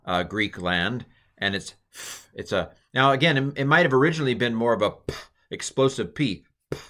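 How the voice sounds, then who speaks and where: A man's voice: lowish voice, fairly bright tone. American guy teaching a class on video, casual and jokey, lots of ums. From United States. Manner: jokey, self-deprecating, many fillers. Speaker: Greek teacher. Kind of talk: casual recorded lecture.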